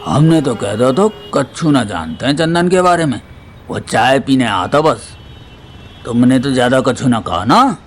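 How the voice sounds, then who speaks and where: A man's voice: mid-range voice, big pitch swings, unhurried, a little hiss or hum. Anxious middle-aged father played by a man, pleading. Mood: worried, reproachful. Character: frightened, pleading father of a grown daughter. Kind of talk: Hindi audio drama acting. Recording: music bed under the voice.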